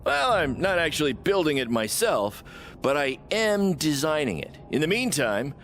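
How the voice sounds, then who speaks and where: A fast-talking, flippant wrestling promoter in a video game story scene. His delivery is very animated and salesman-like, full of cocky self-assurance. A light layer of ambient noise sits behind the voice. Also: a little high. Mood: upbeat, pitching. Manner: glib, upbeat salesman-like delivery. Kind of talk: video game cutscene acting.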